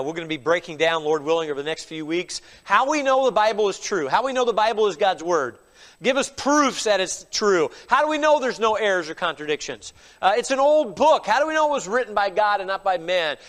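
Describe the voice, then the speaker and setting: Middle-aged pastor, high voice, stuffy with a cold, emphatic sermon delivery. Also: male voice, a bit bright. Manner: emphatic preaching with frequent "all right?" asides.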